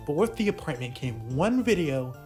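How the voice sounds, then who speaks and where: A man's voice: a little high, very animated, moderate pace, darkish tone. A man narrating an informal video essay as commentary, with faint music behind his voice. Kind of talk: informal commentary on a puppet series.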